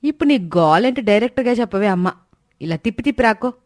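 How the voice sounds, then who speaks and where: A woman's voice: mid-range voice, lively intonation, quick pace, dry recording, lightly acted. A woman playing a devoted, affectionate yet firm young wife in a story, speaking expressively. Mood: irritated, firm. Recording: dry studio voice track.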